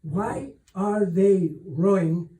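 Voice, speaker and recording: A man reading aloud plays a young storybook woman, polite and full of questions. The voice is high for a man and unhurried, in an echoey space. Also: animated, warm tone, far from the mic, exaggerated voice. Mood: curious. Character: curious, polite young woman passenger on a ship.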